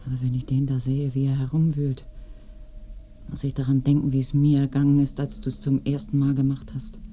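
An actress in a radio drama playing a lonely, remorseful, secluded village woman with a soft, breathy, brooding delivery. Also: extremely low pitch, monotone, fairly quick, slight background noise. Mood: remorseful, bitter. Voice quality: soft, breathy, often whispering. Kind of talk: intimate radio play acting. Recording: roomy binaural radio drama recording.

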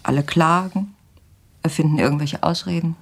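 A well-off older wife in a radio play, wounded and disdainful. The voice is low and breathy, with brittle, theatrical phrasing. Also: female voice, normal speed. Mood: reproachful. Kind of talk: naturalistic radio drama acting.

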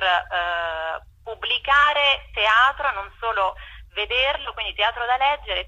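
A woman answers interview questions on a phone call to a radio show. Her voice is sharply bright with a husky edge, and she explains things haltingly, searching for words. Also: mid-range voice. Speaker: festival artistic director, interview guest.

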